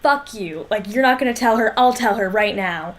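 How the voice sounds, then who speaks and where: A woman's voice: mid-range voice, moderate pace. A woman co-hosting a casual book discussion podcast, speaking with sardonic, sweary exasperation. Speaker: podcast co-host.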